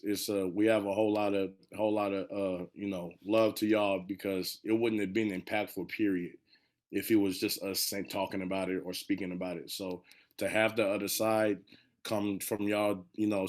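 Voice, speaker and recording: A man giving casual, heartfelt remarks on a panel, halting and repeating phrases for emphasis. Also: low-pitched, a little mellow. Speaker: monologue storyteller on a panel.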